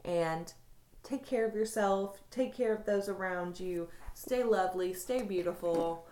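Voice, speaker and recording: A woman co-hosting a casual podcast, talking bluntly with easy swearing and closing with warm sign-offs to listeners. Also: mid-range voice, unhurried. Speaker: podcast co-host.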